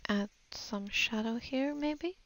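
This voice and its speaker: Slow, creaky-voiced woman narrating a tutorial. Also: a little high, a bit bright, rough recording. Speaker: digital art tutorial narrator. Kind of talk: casual unscripted voice-over tutorial, thinking aloud.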